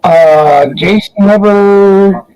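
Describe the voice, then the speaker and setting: A male host from Australia chatting casually with callers, soft-spoken and unhurried. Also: high-pitched, clean tone, dull audio. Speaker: show host.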